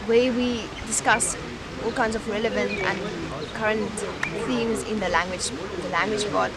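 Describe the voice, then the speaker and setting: A young South African woman with an Afrikaans-speaking background and a South African accent, talking in a relaxed, thoughtful way with frequent pauses and restarts while she is interviewed outdoors on a campus. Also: mid-range voice, a loud crowd. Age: young adult. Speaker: university student. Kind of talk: casual interview. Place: outdoors in a sunny campus garden.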